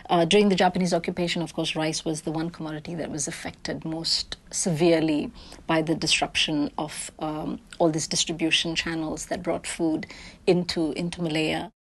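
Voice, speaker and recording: A woman's voice: deep voice, flat delivery, normal speed. A woman historian explaining wartime food supply in an expert interview, with a lecturing manner.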